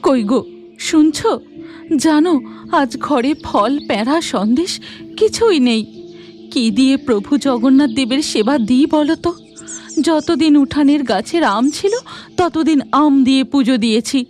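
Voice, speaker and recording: A woman's voice: high-pitched, lively intonation, a little slow, a little mellow, faint music behind. A woman voicing a devout, humble, hospitable village wife in a Bengali cartoon. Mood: worried.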